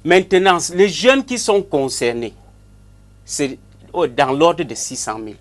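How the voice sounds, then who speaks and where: A man's voice: fairly high pitch, big pitch swings, a little slow. A man, a TV panel guest correcting figures and stumbling over repeated words. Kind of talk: televised panel debate.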